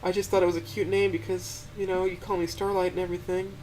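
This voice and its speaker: A man voicing a gentle young female dinosaur who stammers and quivers as she pleads tearfully. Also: high voice, level tone, fast, pitched above the performer's own voice, close to an everyday voice, poor audio. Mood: flustered.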